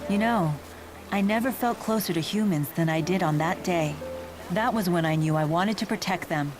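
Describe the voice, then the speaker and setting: A dignified spirit lord in female form voiced by an actress for a game. She speaks formally and earnestly in a lowish, composed voice, with clear music behind her. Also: moderate pace, natural voice. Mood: wistful, composed. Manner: composed, matter-of-fact, formal.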